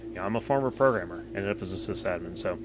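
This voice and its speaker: A man's voice: deep voice, rapid-fire. A man co-hosting a podcast, talking casually and rambling, with frequent restarts and "you know.". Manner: rambling, repeats words, says "you know" a lot. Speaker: podcast co-host. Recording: loud background noise.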